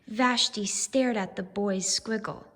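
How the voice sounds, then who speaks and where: A woman reading a storybook aloud to young children as a teacher, expressive and deliberate, pausing to ask questions. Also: mid-range voice, long reverb tail.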